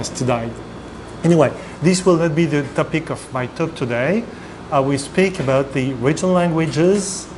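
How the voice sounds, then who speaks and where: Man, guest lecturer, slightly high voice, halting, filler-heavy, air conditioning noise in room. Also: darkish tone. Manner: hesitant, many fillers and restarts. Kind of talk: academic lecture.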